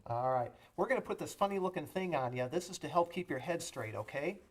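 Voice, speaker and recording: A man's voice: a little high, lively intonation, fairly quick. Reassuring male EMT, faint background noise. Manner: reassuring, explains each step simply. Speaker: EMT with a rescue squad. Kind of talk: educational demonstration talking to a child patient.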